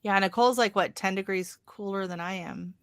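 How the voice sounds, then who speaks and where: A woman with a bright, crisp-edged voice speaks in an American accent touched by a slight Canadian lilt. She hosts a casual livestream, telling stories in an animated manner, and the sound is dry and close. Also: medium pitch, a little fast, no room sound. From Oregon, USA. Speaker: livestream host.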